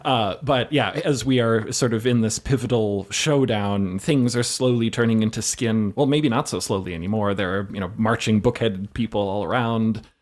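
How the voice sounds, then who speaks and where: A man's voice: fairly low pitch, normal speed, hardly any pauses. A man playing a tabletop roleplaying game, improvising the story aloud with the others in a conversational way.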